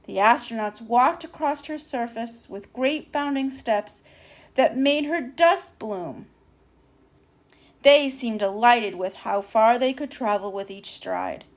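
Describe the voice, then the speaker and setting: High-voiced woman reading a storybook aloud. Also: lively intonation, takes it slowly. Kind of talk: expressive children's storybook read-aloud.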